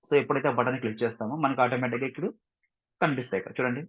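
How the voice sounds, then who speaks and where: A man with an Indian accent giving an informal software tutorial, repeating himself, mixing in English terms and often checking that listeners follow. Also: medium pitch, steady tone, racing, husky, lo-fi sound. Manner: repetitive, frequent check-in questions, mixes English terms into speech. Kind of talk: screen-share tutorial, informal explanation.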